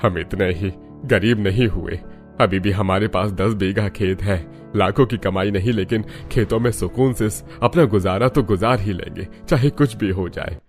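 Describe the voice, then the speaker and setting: A man playing a polite, dignified middle-aged family father in a cartoon drama, speaking in a measured way. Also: lowish voice, soft background music, close to an everyday voice. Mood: resigned, steady.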